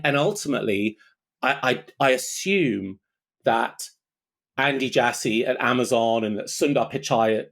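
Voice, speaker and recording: A man speaking as an expert guest in an interview, explaining his thinking aloud step by step in a conversational way. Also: medium pitch. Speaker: expert guest, technology analyst.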